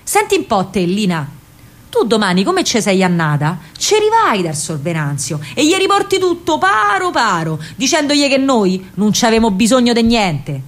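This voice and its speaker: A woman's voice: on the high side, big pitch swings, normal speed. A woman voicing a stern, hot-tempered working-class Roman father with a commanding manner in a radio play. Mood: angry scolding. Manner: barking, commanding.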